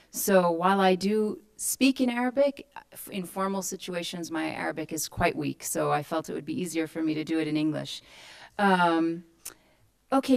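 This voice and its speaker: A woman's voice: on the low side, darkish tone. A woman from Kuwait speaking as a panelist at a forum, answering a question in an earnest, explanatory way and posing rhetorical questions. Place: conference hall.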